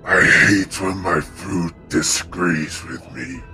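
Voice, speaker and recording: A man's voice: bright, forceful tone, exaggerated voice. A man playing an ancient, hungry, contemptuous carnivorous plant monster in an audio drama, with pompous, menacing delivery. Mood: scornful, hungry. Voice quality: deep, menacing. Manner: slow, pompous, theatrical villain delivery. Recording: music behind the voice.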